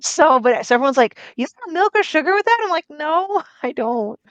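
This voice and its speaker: A woman hosting a podcast who interviews a guest in a chatty, friendly way with quick asides. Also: high voice, expressive, no room sound. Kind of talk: casual interview chat. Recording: dry, dull lo-fi sound.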